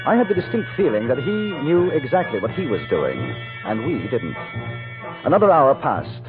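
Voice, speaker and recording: A muffled vintage radio recording with music behind it carries an actor as a composed British ex-cavalry officer. His delivery is measured and wry, with an expressive rise and fall as he tells of his travels. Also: medium pitch, close to an everyday voice. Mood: reflective, watchful. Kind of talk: 1950s radio drama narration and dialogue.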